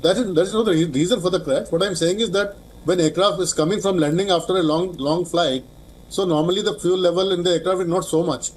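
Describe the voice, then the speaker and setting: Male expert guest for news interview, Indian accent, fairly high register, halting and repetitive phrasing. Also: poor audio. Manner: hesitant, halting, with repeated words.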